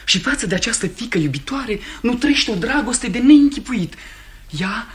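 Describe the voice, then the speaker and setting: A lovestruck young gentleman, speaks in rhapsodic, declamatory phrases. His pitch swings widely with impetuous ardour, in the style of an old radio play. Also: lively intonation, slight background noise. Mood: rapturous.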